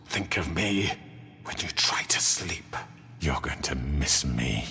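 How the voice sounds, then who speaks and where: An actor playing a sinister, theatrical male game villain who taunts slowly and menacingly. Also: a bass voice, expressive, creaky, sharp tone, soft background music, full character voice. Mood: menacing taunting. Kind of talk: video game voice acting.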